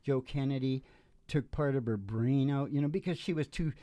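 A middle-aged man hosting a show, telling opinionated, digressive stories casually and haltingly. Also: medium pitch, dark tone. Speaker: host of a music and storytelling show. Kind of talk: rambling casual storytelling between songs. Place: small dim stage with curtains. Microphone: microphone on a stand.

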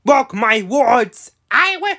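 A woman voicing a villainous male frog for amateur cartoon clips, gloating in a halting, stammering way. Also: fairly high pitch, sing-song, fairly bright tone, heavily acted. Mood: vengeful. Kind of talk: amateur improvised character voice clips.

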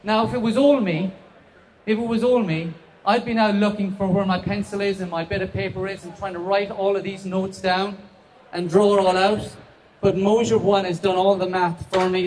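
A man's voice: high voice, level tone, normal speed, a little mellow. A middle-aged man from the west of Ireland with an Irish accent, chatty and self-deprecating, demonstrating a product live on a handheld mic in a large trade show hall. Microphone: handheld microphone.

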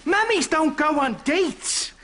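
High-voiced indignant Irish son. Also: male voice, lively intonation, takes it slowly, bright, forceful tone, slight background noise, exaggerated voice. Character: indignant, protective grown-up son of an Irish mammy. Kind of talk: live sitcom acting.

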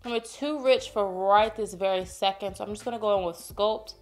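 A woman's voice: medium pitch, brisk, soft background music. A woman, chatting playfully through a makeup tutorial, sounding a bit congested. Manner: chatty, playful, addresses viewers as y'all. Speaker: beauty vlogger. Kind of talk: casual makeup tutorial chat. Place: home room, indoors.

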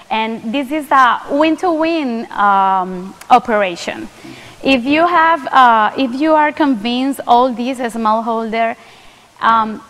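A woman's voice: on the high side, a bit bright. A woman panelist speaking careful, with many restarts, on a conference stage. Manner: slow, careful non-native English with restarts. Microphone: stage mic. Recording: echoey conference hall.

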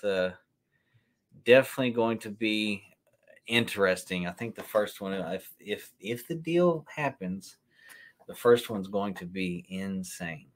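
A man, hosting a sneaker livestream and chatting casually as he answers viewer comments. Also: fairly low pitch. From Kentucky. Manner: relaxed, chatty, answers chat questions on the fly. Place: room with shelves of sneakers, indoors.

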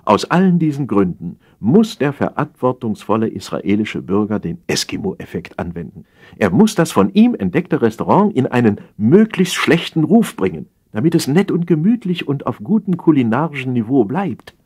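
A man's voice: mid-range voice, very animated, moderate pace, a little mellow, husky. A man playing an opinionated café regular in a satirical story, explaining things slyly and pompously with emphasis. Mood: lecturing. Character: opinionated male café regular, slyly lecturing his friend.

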